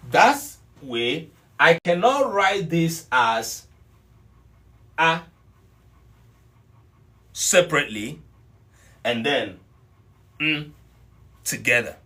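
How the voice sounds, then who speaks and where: A man's voice: fairly high pitch, fairly bright tone. A middle-aged man teaching an online language class, explaining points patiently and repeating them. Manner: explanatory, repeats points, greets students by name. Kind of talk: live online class lecture.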